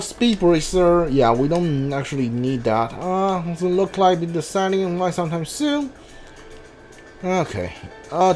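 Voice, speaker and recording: A man's voice: high voice, darkish tone. A man doing casual video game playthrough commentary, reading on-screen text aloud and mumbling to himself as he thinks. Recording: game music behind the voice. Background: video game music.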